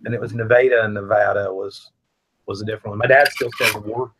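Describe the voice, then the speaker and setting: A man from Missouri chatting casually with friends and telling family stories in an animated way. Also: fairly low pitch, fast, noisy background. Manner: storytelling, animated. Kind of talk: casual group chat.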